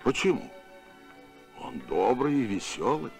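Lively, assured space captain. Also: male voice, mid-range voice, measured pace, vocal fry, soft background music. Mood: matter-of-fact. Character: steady, confident heroic male space captain.

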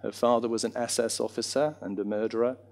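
A man's voice: medium pitch, fairly even intonation, quick pace, darkish tone. A middle-aged man speaking reflectively and discursively on a panel, self-deprecating, into a handheld microphone. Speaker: panellist, reminiscence arts practitioner and former actor. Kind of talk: informal panel talk. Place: small theatre stage in front of an audience.

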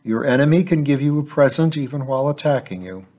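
A man voicing a cheerful, quick-witted adult male agent, slightly brighter than his narrating voice. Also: mid-range voice, animated, dull audio, voice pushed up, close to an everyday voice. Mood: breezy. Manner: cheerful, breezy. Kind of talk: audiobook character voice.

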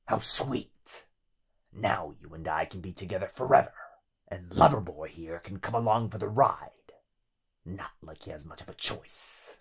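A sinister living shadow in a yandere ASMR roleplay. The voice is very breathy and husky, often sinking toward a whisper. It drawls slowly with a mocking, possessive tenderness and predatory menace. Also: male voice, sits low, lively intonation, exaggerated voice. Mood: mocking, gloating.

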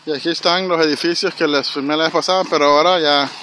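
A man's voice: a little high, fairly even intonation, quick pace, bright, forceful tone, rough recording. A man, narrating a casual walking vlog outdoors on a phone, chatty and speaking straight to his viewers. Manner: chatty, addresses viewers directly, frequent "¿verdad?". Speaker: vlogger walking and filming. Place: snowy city street outdoors. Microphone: handheld phone camera. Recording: outdoor street noise, passing traffic.

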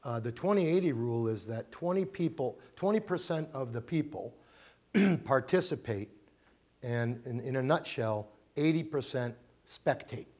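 A man's voice: mid-range voice, lively intonation, normal speed, a little mellow. A middle-aged man, a pastor giving an informal family talk to his congregation in a small church, chatty, with fillers, restarts and emphatic repeats. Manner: conversational, many fillers and restarts, emphatic repetitions. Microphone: handheld mic, far camera.

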